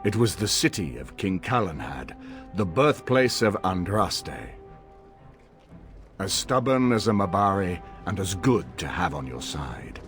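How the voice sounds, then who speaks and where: A dignified older male statesman in a fantasy game. His low voice moves expressively in a measured, solemn, formal delivery, with faint music behind it. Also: big pitch swings, full character voice. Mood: solemn, determined. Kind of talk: dramatic fantasy game cutscene acting.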